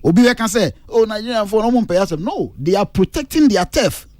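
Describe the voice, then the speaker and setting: A man's voice: high voice, big pitch swings, brisk, a little mellow, dry recording. A man with a Ghanaian accent arguing emphatically on a radio entertainment panel, mixing languages. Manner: emphatic, argumentative, switches languages. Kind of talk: animated radio panel discussion. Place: radio studio.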